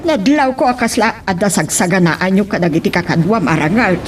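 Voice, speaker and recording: A woman's voice: mid-range voice, expressive, fairly quick, lightly acted. A woman with an Ilocano accent playing a concerned older village auntie in a radio drama. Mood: worried. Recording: noisy radio drama recording.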